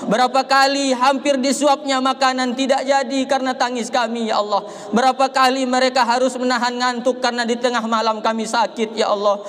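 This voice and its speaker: A young man preaching an Eid sermon and prayer from a pulpit outdoors, impassioned and rising to shouts on key lines. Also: very high voice, flat delivery, a little fast, in a large hall.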